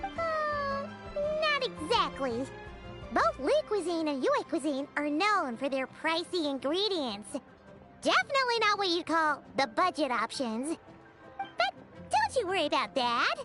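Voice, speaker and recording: A woman voicing a tiny, excitable childlike fairy travel guide in a video game, perky and boastful. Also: very high voice, unhurried, heavily acted. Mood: cheeky, persuasive. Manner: perky, boastful, refers to self in third person. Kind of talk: video game cutscene acting. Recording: music behind the voice. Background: background music.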